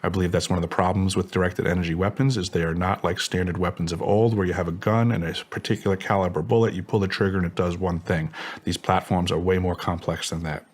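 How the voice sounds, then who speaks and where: A man's voice: low voice, steady tone, quick pace, a little hiss or hum. A man speaking as an interview guest, giving assertive testimony in formal, technical wording. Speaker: interview guest, former contractor.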